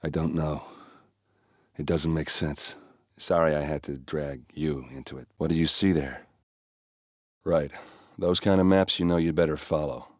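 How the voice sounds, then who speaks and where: A man's voice: a bass voice, fairly even intonation, measured pace, pauses often, dry recording, studio quality. A man acting a blunt, brash and loyal soldier with a wry, informal manner in a video game. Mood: troubled, grieving. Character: gruff, brash soldier, loyal and grieving his sister.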